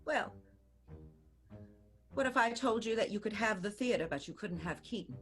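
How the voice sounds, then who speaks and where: A woman playing a sly, affected, evil theater owner in an improvised radio play, coy and husky. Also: medium pitch, lively intonation, moderate pace, a bit bright, faint music behind, close to an everyday voice. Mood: sly, flattering.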